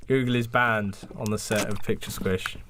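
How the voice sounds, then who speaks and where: A man's voice: fairly low pitch, steady tone, moderate pace, noisy background. A man co-hosting a comedy podcast, bantering in a teasing, bluntly contrary way. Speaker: podcast co-host.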